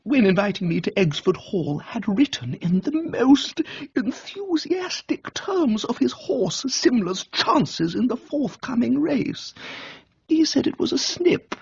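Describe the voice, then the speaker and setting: A man voicing a brash, excitable middle-aged English aunt, gushing and bossy, in a comic radio book reading. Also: very high voice, slow pace, gravelly, slightly rough, no echo, highs cut off, voice pushed up, heavily acted. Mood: breathless explaining.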